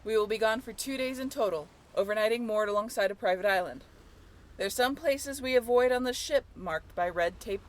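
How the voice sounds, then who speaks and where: A woman's voice: medium pitch, lightly acted. A woman playing an upbeat young tour guide on a sailing ship in a scripted audio roleplay, with a chatty, playful way of talking. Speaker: role-play voyage guide.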